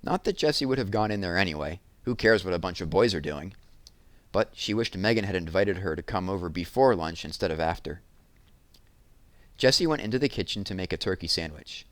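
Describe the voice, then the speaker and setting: A man's voice: low-pitched, animated, brisk, dry recording. A man reading a children's novel aloud as a storytelling narrator.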